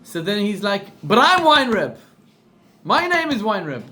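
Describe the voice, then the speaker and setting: A middle-aged rabbi with a South African accent telling a story in a sermon, acting out the people in it and repeating words for emphasis. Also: male voice, sits high, measured pace. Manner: animated storyteller, acts out quoted voices, repeats for emphasis.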